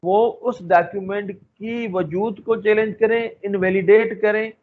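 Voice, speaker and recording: A man giving an explanatory law lecture in a halting way, often leaning on filler phrases and switching into English. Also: high voice, fairly even intonation. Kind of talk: explanatory lecture mixing Hindi-Urdu and English. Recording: thin phone-like audio with faint noise.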